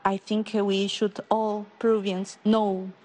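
A woman's voice: medium pitch, level tone, slow, mellow. Woman in an interview, sounding heartfelt and sincere. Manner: emotional, heartfelt.

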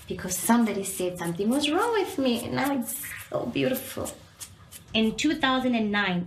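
A woman's voice: medium pitch, echoey room, a little hiss or hum, low-quality recording. A young woman from Zambia speaking candidly and earnestly about her own life. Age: young adult. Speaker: interviewee. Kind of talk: personal testimony.

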